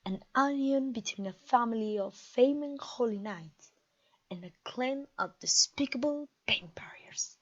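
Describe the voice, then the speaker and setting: A woman playing a haughty, mocking vampire queen in a theatrical ASMR roleplay, pompous and teasing, with drawn-out phrasing. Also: a little high, muffled recording. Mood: mockingly amused. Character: haughty, mocking, conquering vampire queen taunting her captive. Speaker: audio roleplay voice actor.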